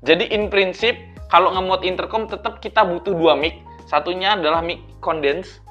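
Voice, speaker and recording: A man's voice: high-pitched, steady tone, normal speed. A man explains things to viewers as a vlogger, in an informal, chatty, step-by-step way. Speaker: vlogger, tech reviewer. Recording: dull lo-fi sound under music.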